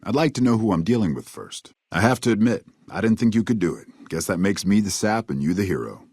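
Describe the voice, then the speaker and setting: A gruff American ranger for a video game, with a very low voice that swings freely up and down. He is cynical and folksy, drawling out sardonic tough talk, and the sound is close and dry. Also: expressive, brisk, darkish tone, no room sound. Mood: wry, explaining, then grudgingly grateful. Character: gruff, wry, cynical frontier army ranger man. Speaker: game character voice actor. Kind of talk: video game dialogue lines.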